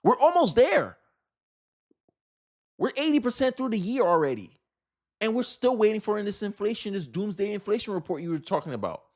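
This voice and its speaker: A man's voice: sits high, very animated, moderate pace, long pauses, a little mellow. An American man giving casual, opinionated political commentary in an exasperated, emphatic way, firing off rhetorical questions.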